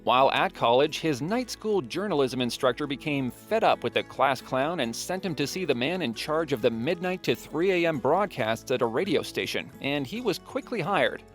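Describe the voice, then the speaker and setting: A man reading scripted documentary narration in a playful, wry manner, with joking asides. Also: on the high side.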